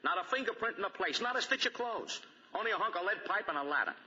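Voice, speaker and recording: Clipped cop lieutenant, highish really hard-edged voice, 40s radio drama, long reverb. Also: male voice, expressive, fast, sharp tone, heavily acted. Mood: businesslike. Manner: matter-of-fact, clipped. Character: businesslike police lieutenant on a murder case. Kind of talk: 1940s radio mystery acting. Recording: muffled, reverberant 1940 radio broadcast.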